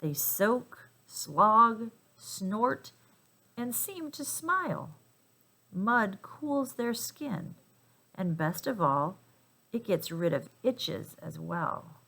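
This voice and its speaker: A woman reading a children's picture book aloud in an expressive, emphatic and playful way for young listeners. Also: medium pitch, extremely slow, gravelly. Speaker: storybook reader.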